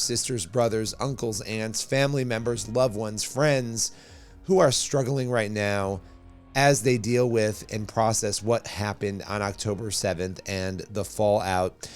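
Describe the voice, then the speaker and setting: A man hosting an interview podcast, speaking earnestly, his voice slightly hoarse. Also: mid-range voice. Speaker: podcast host.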